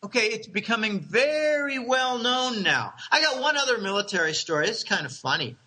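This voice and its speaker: A man's voice: high voice, very animated, moderate pace, pushed and bright. A middle-aged man casually telling rambling, conspiratorial stories, chuckling and restarting his sentences.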